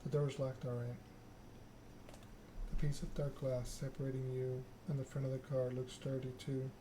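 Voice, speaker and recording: A man streaming a game in soft ASMR style, reading story text aloud and chatting casually with viewers. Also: mid-range voice, completely flat delivery, moderate pace, noisy background. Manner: reads narrative text aloud, chats with viewers.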